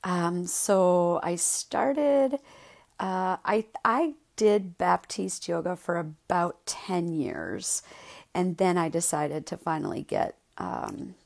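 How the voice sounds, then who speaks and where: A woman's voice: lowish voice, animated, takes it slowly, creaky, darkish tone. A woman in her thirties with a Wisconsin accent, a yoga teacher chatting casually about her path, with many ums and likes. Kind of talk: casual personal podcast monologue.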